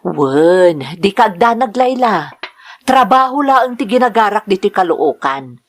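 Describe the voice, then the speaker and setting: Woman acting eager middle-aged worker, Ilocano Filipino accent, lively intonation, medium pitch, earnest, audio drama. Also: unhurried, slight background noise. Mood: matter-of-fact. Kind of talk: radio-style drama acting.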